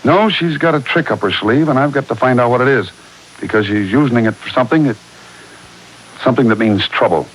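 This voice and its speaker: An actor playing a steady, shrewd cowboy hero, firm and earnest, in a 1940s radio Western. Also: male voice, mid-range voice, fairly quick, close to an everyday voice. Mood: worried, earnest. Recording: hissy, noisy 1940s radio recording.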